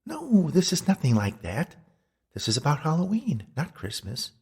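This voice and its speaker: An earnest old woman's ghost, acted out by a male narrator, exhorting and solemn. The voice is pushed up high and swoops about, with a husky, creaky edge. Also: wildly swooping pitch, moderate pace, a little mellow, full character voice. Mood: flustered insistence. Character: elderly woman ghost, earnest admonishing messenger.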